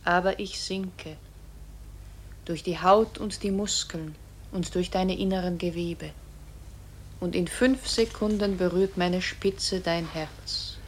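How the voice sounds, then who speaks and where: A woman voicing a remorseful iron bullet in a radio play, soft, tender and solemn, pleading for forgiveness. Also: lowish voice, steady tone, slow, slight background noise.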